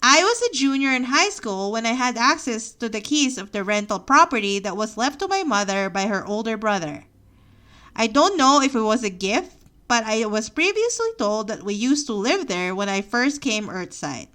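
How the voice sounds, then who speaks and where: Filipino woman, young adult, bright voice, lively pitch, earnest memoir reading, reflective questions. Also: moderate pace. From Philippines. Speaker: podcast host. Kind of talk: reading a personal memoir aloud.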